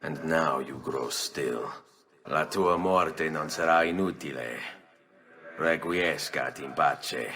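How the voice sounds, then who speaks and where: An actor playing a grave, composed assassin with an Italian accent in a video game, solemn and measured. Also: male voice, extremely low pitch, bright, forceful tone, slight background noise, exaggerated voice. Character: grave, composed male assassin granting last rites. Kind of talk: dramatic video game cutscene acting.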